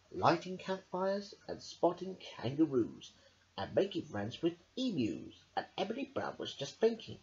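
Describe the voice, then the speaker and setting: Storybook reader, hugely animated pitch, dramatic delivery, occasional stumbles, dull lo-fi camera sound. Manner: dramatic, stumbles over words now and then. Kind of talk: expressive children's story read aloud.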